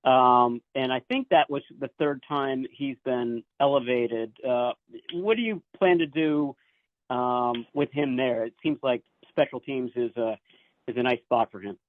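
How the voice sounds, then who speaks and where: A man's voice: mid-range voice, measured pace, no echo. A man, a sports reporter, asking questions off the cuff in a hesitant way. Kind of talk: unscripted press questioning. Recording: dry, narrow telephone line.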